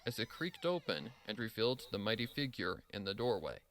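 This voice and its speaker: A man's voice: lowish voice, sing-song, a little fast, a bit bright. A man narrating a scripted story, reading expressively and dramatically.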